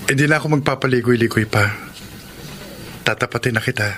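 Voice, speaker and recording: A man with a Filipino accent playing a kind, sincere suitor in a radio drama, speaking deliberately. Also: medium pitch, fairly bright tone, a little hiss or hum, natural voice. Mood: earnest, tender. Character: kind, sincere older suitor confessing love to his housemaid.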